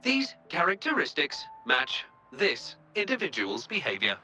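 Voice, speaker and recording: A man's voice: a little high, wildly swooping pitch, unhurried, sharp tone, soft background music, exaggerated voice. A man voicing a small, polite robot assistant in a video game, speaking in formal, literal, procedural announcements. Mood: clinical, measured. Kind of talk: video game character dialogue.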